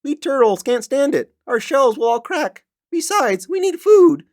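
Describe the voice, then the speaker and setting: Squeaky pleading little turtle. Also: male voice, sing-song, a little slow, heavily acted. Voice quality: thin, whiny, strained. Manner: pleading and polite. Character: small tired pleading male turtle at the bottom. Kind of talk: storybook read-aloud.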